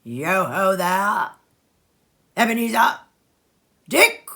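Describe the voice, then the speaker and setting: A jovial, well-fed old master of a warehouse, acted out by a woman storyteller, hearty and oily. The voice swoops widely in pitch as it calls out merry orders in a storybook reading, taking its time over them. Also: very animated, drawn-out words, vocal fry, a bit bright, heavily acted. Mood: jovial, calling. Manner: hearty calling out, exclamatory.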